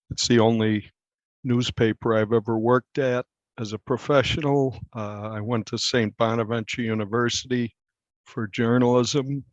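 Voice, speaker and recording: An American man in his sixties talking casually as an interview guest, plain-spoken and unhurried. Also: medium pitch, dry recording. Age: 60s. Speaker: veteran newspaper reporter, interview guest. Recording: slightly dull, lo-fi.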